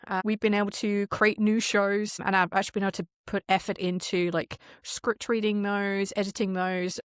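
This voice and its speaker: A woman's voice: medium pitch, dry recording, studio quality. A woman talking casually as an interview guest, explaining how audio production works and often voicing imagined quotes as she goes.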